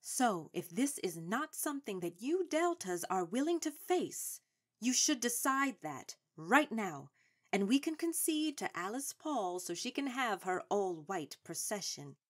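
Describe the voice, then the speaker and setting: A woman's voice: medium pitch, very animated, unhurried. A woman playing a seasoned, proud and shrewd suffrage activist in a radio drama, speaking in a formal, authoritative way. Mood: stern. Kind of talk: stage-trained radio drama acting.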